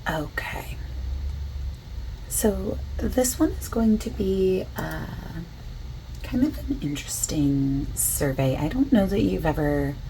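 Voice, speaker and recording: A soft-spoken woman doing an ASMR roleplay as a survey interviewer, asking questions in a gentle, casual, reassuring way. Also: deep voice, vocal fry, rough recording. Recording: constant keyboard typing noise. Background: computer keyboard typing and clicking.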